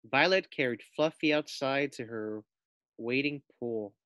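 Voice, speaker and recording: A man's voice: medium pitch, a little slow, bright, forceful tone, no room sound. A man with an American accent reading a children's story aloud like a teacher, putting questions to his young listeners. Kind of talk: expressive children's read-aloud narration.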